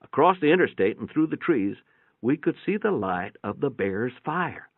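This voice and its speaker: A man's voice: medium pitch, very animated, moderate pace, no echo, narrow, tinny audio. A man giving a folksy, plainspoken dramatic reading of a first-person story, with a radio-like sound. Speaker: narrator, reader. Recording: band-limited, radio-like sound.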